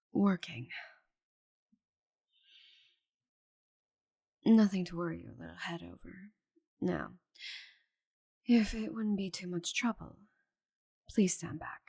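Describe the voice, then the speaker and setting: A haughty giant female dragon, acted by a woman for an ASMR roleplay, speaks in a low, breathy voice. She is stubborn and possessive, guarding her secrets and often dropping into a whisper. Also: darkish tone, exaggerated voice. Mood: evasive, commanding. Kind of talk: intimate ASMR roleplay acting.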